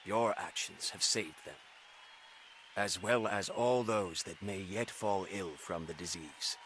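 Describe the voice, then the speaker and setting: An actor playing a proud, chivalrous knight in a fantasy game, speaking in stately, formal phrases. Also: male voice, low voice, slow, fairly bright tone, a little hiss or hum, low-quality recording. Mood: solemn respect. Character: proud, chivalrous knight in armour, praising a hero.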